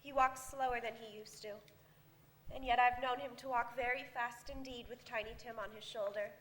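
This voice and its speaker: Woman playing bright-voiced grieving mother. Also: fairly high pitch, steady tone, moderate pace, close to an everyday voice. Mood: subdued sorrow. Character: grieving, tender mother mourning her little son. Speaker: actor playing Mrs. Cratchit. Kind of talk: staged radio play acting. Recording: live stage recording, faint noise.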